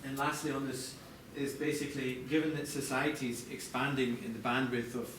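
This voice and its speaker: A man presenting product design live to a group, explaining in a halting way with corporate phrasing. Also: mid-range voice, little pitch movement, fairly bright tone. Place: large echoey indoor venue, apparently a hotel. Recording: echoey noisy room, distant mic.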